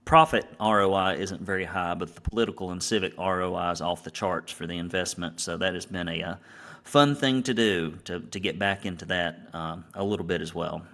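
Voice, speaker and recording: A man's voice: low voice, a little fast, fairly bright tone. A middle-aged man with a Southern US accent, talking conversationally as an interview guest and telling stories about his work. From Alabama, United States. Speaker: guest, business coach and painting academy founder.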